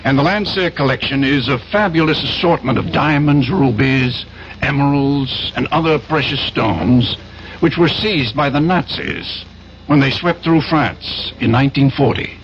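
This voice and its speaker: Gravelly, self-assured schemer. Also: male voice, mid-range voice, lively intonation, slight background noise. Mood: storytelling. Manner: persuasive, self-assured, deliberate phrasing. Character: smooth-talking, commanding older schemer, war veteran importer. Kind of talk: 1970s radio drama acting. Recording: dull old radio recording, faint music.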